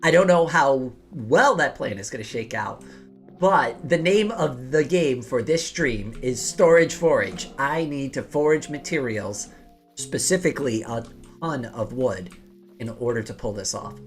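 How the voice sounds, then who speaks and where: A woman hosting a game livestream in a rough, slightly rattly voice, hoarse from coughing. She chats casually and explains her plans as she plays, with music behind her. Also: very deep voice, expressive, measured pace. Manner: chatty, explains plans aloud while playing. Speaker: livestream host. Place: home office.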